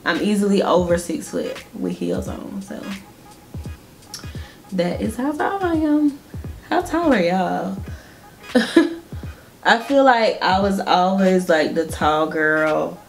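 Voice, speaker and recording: Chatty Southern woman, lowish voice. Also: sing-song, slow, pauses often, soft background music, poor audio. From Georgia, US. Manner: chatty, laughs often, addresses viewers directly. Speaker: beauty vlogger. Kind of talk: casual chit-chat while doing makeup. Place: small indoor room at home.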